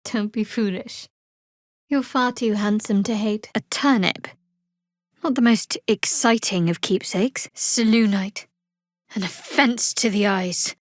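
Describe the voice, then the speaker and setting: A woman playing a guarded, wry young cleric of a dark goddess in a video game, speaking in a dry, measured, sardonic way. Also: medium pitch, no room sound, natural voice.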